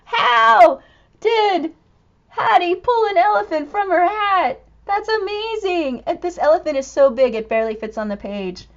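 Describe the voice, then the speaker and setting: A woman storyteller reading a picture book to young children in an animated, exclamatory way, full of expressive wonder. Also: very high voice, unhurried, highs cut off. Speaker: storytime reader and teacher.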